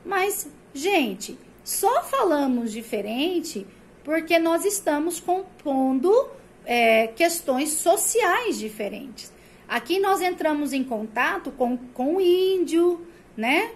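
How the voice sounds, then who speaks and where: A woman's voice: sits high, very animated, slow pace. A woman from Brazil with a Brazilian Portuguese accent that pulls the R, giving a recorded prep-course lecture in an explanatory way, full of rhetorical questions and "né?" tags. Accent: Brazilian Portuguese, rolled R of the interior. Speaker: Portuguese teacher.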